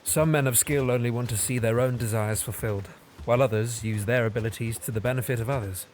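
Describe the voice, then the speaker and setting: A man's voice: mid-range voice, steady tone, fast talker, darkish tone, natural voice. A man playing a cerebral, reserved Victorian detective in an audio drama, formal and cool. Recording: outdoor ambience noise behind.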